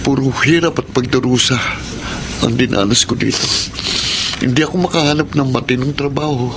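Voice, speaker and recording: A man's voice: medium pitch, brisk, background noise, close to an everyday voice. An actor playing an earnest, distressed young male laborer in an emotional, pleading radio drama style. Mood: remorseful pleading. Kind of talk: Filipino radio drama acting.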